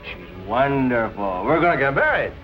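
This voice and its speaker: An actor playing a drunken, amiable, stubborn nightclub regular in a 1940s film, rambling and repeating himself. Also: male voice, mid-range voice, big pitch swings, normal speed, muffled recording, close to an everyday voice. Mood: tipsy, cheerful. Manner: tipsy, rambling, repetitive. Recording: vintage 1940s film soundtrack, faint music.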